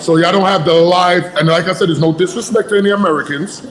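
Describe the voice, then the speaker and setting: A man's voice: sits high, quick pace. A man from New York joking and giving opinions in casual banter. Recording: echoey room.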